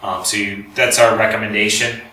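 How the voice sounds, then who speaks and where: A man's voice: on the low side, level tone, fairly quick, pushed and bright. A man giving an informal, explanatory technical presentation to an audience, recorded from a distance in a room. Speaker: lecturer, company representative. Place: indoor lecture room with audience. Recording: roomy, distant mic, faint background noise.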